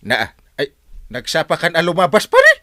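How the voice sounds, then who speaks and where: An actor playing an excitable, blustering village husband in an Ilocano-accented comic radio drama. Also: male voice, on the high side, normal speed, dry recording, studio quality, exaggerated voice.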